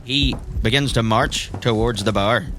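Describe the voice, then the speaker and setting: A man's voice: medium pitch, unhurried, noisy background. A man narrating a tabletop roleplay game as its game master.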